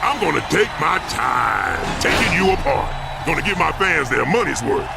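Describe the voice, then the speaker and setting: A man's voice: on the high side, big pitch swings, normal speed, gravelly, very noisy, heavily acted. An actor playing a cocky, menacing arena bruiser who taunts his prey in a cartoonish video game. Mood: taunting, menacing. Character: swaggering, menacing killer arena champion, big bruiser.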